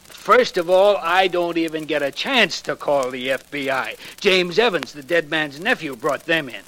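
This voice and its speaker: An actor playing a gruff, stubborn, exasperated homicide sergeant in a 1940s radio detective drama, blustering and slangy as he argues. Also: on the high side, lively intonation, moderate pace, fairly bright tone, rough recording. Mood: grumbling, indignant.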